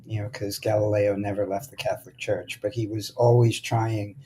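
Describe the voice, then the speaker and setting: A man's voice: fairly low pitch, level tone, moderate pace, dark tone, slight background noise. A man speaking as a guest author, explaining ideas in a reflective, conversational style.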